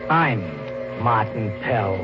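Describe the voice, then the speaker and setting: An actor playing a desperate, guilt-haunted drifter in a 1950s radio drama, narrating in a tense, halting, colloquial American voice. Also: male voice, lowish voice, expressive. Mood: haunted narration. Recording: muffled 1950s radio recording, music behind.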